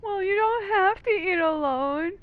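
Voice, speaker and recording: High-voiced woman doing game commentary. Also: expressive, unhurried, phone-quality sound. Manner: informal, reactive. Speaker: gaming video commentator. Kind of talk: casual playthrough commentary. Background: game music.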